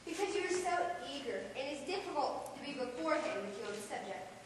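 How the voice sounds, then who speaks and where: A woman's voice: high-pitched, sing-song, fast. A woman playing a saucy, defiant maidservant in an amateur stage comedy, cheeky and theatrical, recorded from far off in a noisy echoing hall. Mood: wry. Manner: cheeky, theatrical back-talk. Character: saucy, defiant maidservant who talks back. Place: large echoing room at a staged play.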